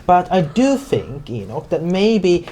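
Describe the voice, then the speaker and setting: Game master acting Regency lady, tabletop drama, slow-paced, polite, placating, faintly condescending. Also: medium pitch, very animated, mellow, a little hiss or hum, pitched above the performer's own voice. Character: polite, placating Regency lady coaxing a cranky old man.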